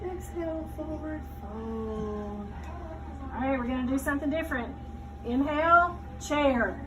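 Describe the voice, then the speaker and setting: Woman, high voice, yoga teacher, short instructional cues, far from the mic, clear background noise. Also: measured pace. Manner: instructing step by step with frequent check-in questions. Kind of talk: leading a children's yoga class with short spoken cues. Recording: distant mic, clearly noisy room.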